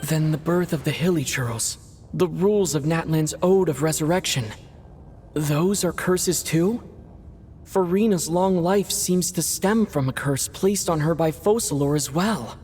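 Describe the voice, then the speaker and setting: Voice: medium pitch, a little slow, a little mellow, in a large hall, a little hiss or hum, lightly acted. A voice actor playing an earnest young traveler hero who speaks thoughtfully in a game cutscene. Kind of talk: video game cutscene voice acting.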